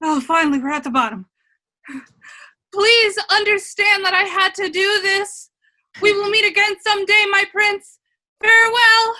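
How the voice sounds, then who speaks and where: A woman's voice: extremely high pitch, normal speed, sharp tone, exaggerated voice. A woman playing a fed-up, defiant fairy-tale princess in a radio comedy, exasperated and emphatic. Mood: resolute. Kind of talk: irreverent radio play acting.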